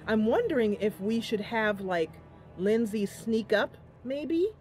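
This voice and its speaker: A woman's voice: fairly high pitch, expressive, slow pace, darkish tone. A woman hosting a live game stream, chatting casually and narrating in a playful, chatty manner. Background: game music.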